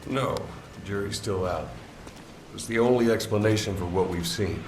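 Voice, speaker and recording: Low-voiced military man in an echoey space acted. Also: moderate pace, distant mic, natural voice. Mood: measured, persuasive. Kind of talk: video game cutscene acting. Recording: echoey, noisy room, off the mic.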